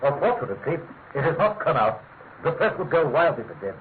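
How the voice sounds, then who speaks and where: An actor playing a pompous, fussy middle-aged government official with a British accent, halting and emphatic, in classic radio mystery style. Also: male voice, fairly high pitch, big pitch swings. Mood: grave. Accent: British English. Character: pompous, fussy male senior government official, gravely insistent. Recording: tinny, narrow-band radio recording with hiss.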